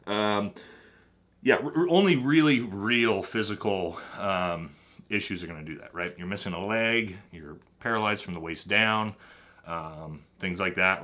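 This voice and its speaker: Blunt low-voiced man. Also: bright, forceful tone. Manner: blunt, emphatic, frequent "okay?" and "right?". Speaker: commentator on military draft. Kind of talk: casual monologue to viewers.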